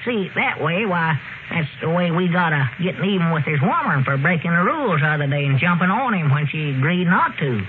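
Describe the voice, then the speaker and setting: High-voiced old country fellow, old radio. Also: male voice, sing-song, a little fast. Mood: folksy, earnest. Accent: rural Southern US. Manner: folksy, rambling, giggly. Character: excitable, teasing old country storekeeper. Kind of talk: 1930s-40s radio comedy acting. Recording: scratchy, narrow-band old radio recording.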